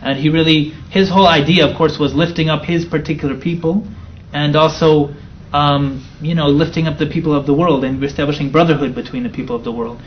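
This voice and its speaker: A man in his thirties from the Chicago area, a guest telling his story in a soft-spoken, thoughtful way. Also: fairly high pitch, steady tone, brisk, few pauses. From United States (near Chicago). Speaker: interview guest. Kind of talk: relaxed storytelling interview. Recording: slightly dull sound with faint noise.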